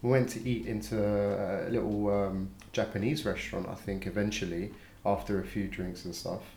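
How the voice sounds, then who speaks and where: A man's voice: lowish voice, little pitch movement, normal speed, darkish tone, reverberant, slight background noise. A man, a podcast guest chatting casually with lots of fillers and laughs. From North London. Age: 20s. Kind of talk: casual chat.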